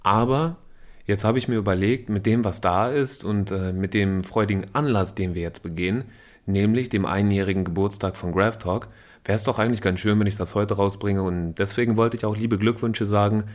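A man hosting a podcast, talking in a relaxed, chatty way with slang and fillers while he has a cold. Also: fairly low pitch, normal speed. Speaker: podcast host. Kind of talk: casual podcast chat.

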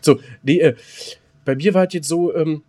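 A man with a husky, slightly high voice co-hosts a podcast. He chats casually and colloquially, pausing and restarting as he finds his words. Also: mellow. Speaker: podcast co-host. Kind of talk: casual chat.

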